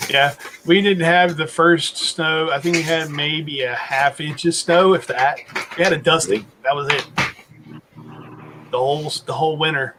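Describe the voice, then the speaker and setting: A man's voice: on the high side, fairly even intonation, a little slow. A man, rambling through stories in a casual chat. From North Carolina. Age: 40s. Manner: rambling, storytelling with restarts. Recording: noisy background.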